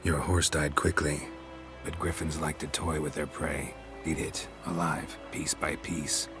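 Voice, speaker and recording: An actor playing a stoic, gruff monster hunter in a video game, terse and deadpan with a gravelly voice. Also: male voice, unhurried. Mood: grim, matter-of-fact. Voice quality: deep, gravelly voice. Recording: loud music behind.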